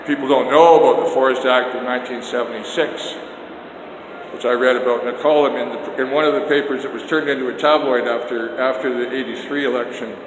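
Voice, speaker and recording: An older Canadian man vlogging about politics, talking loudly and emphatically, often indignant, and recording himself on a phone on a selfie stick. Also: mid-range voice, fairly even intonation, a little fast. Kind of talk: rambling political vlog. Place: outdoors then indoor stairs and hallway. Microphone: handheld phone on a selfie stick. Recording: echoey hallway with long reverb and noise.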